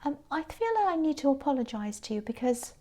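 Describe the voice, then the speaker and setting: A tired woman, giving a gentle, reassuring tarot reading that sometimes drops to a whisper. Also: high-pitched, lively intonation, quick pace. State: tired, croaky voice. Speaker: tarot reader.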